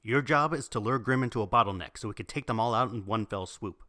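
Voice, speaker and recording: A man's voice: mid-range voice, quick pace, sharp tone, no echo, studio quality, natural voice. A man playing a serious young squad leader in a fan audio drama, firm and matter-of-fact. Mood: commanding.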